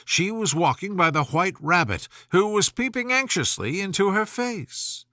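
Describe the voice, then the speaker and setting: A man reads a children's story as narrator, expressive and animated. His voice is fairly high and very bright, recorded close and dry. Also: unhurried, no room sound.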